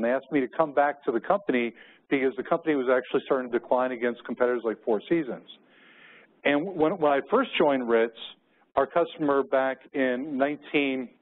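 Tinny-sounding American man giving a keynote. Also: medium pitch. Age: middle-aged. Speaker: keynote speaker. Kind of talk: conference presentation with personal anecdotes. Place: conference room with windows. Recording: muffled, phone-like narrow-band audio.

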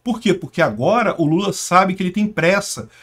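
A man's voice: sits high, lively intonation, a little fast, a bit bright. A middle-aged man from Brazil hosting casual political commentary, sarcastic and emphatic with scornful asides, talking at a desk in a small room. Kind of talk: casual political commentary over screen share.

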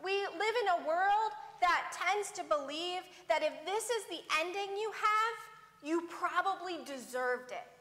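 A middle-aged woman preaches from a pulpit microphone in a large sanctuary, her high voice carrying a long, ringing reverb. She speaks slowly and deliberately, letting phrases fall away to a near hush. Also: animated. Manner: measured, softening to a hush at phrase ends. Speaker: pastor. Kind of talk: sermon. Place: large church sanctuary before a pipe organ.

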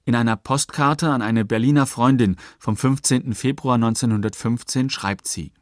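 A man narrating a scripted radio documentary in a factual, measured way at a close studio microphone. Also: mid-range voice, dry recording.

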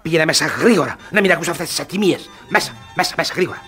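A man's voice: a little high, animated, over music, close to an everyday voice. A man playing a grumpy, domineering older brother in a radio theatre comedy, sarcastic and exclamatory. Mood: angry.

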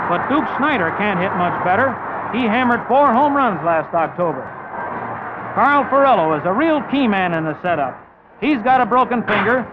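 A man's voice: high-pitched, very noisy. A man working as a reporter, delivering 1950s sports newsreel narration and commentary. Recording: dull vintage 1950s newsreel sound, music behind.

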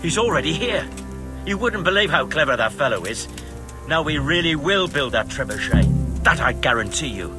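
An actor playing an earnest, courteous overseer in a medieval army camp for a video game, sincere in manner. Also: male voice, a little high, big pitch swings, moderate pace, over music. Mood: grateful, warm. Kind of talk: video game dramatic acting.

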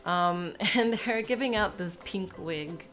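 A woman's voice: on the low side, takes it slowly, fairly bright tone, faint music behind. A sick young woman with a stuffy, nasal voice, chatting casually in a small room while unpacking a bag, rambling with lots of fillers. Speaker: vlogger. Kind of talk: casual unboxing chat.

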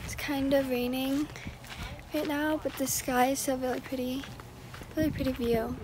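Young female travel vlogger, high-pitched, unhurried and casual, noisy open-air recording. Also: flat delivery. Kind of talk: casual vlog chat. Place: outdoors in open nature. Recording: noisy outdoor phone audio.

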